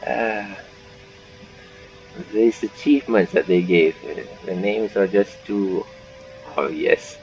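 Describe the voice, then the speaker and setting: A man hosting a gaming channel, giving casual, halting, rambling playthrough commentary. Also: lowish voice, darkish tone. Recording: dull lo-fi mic. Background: game music.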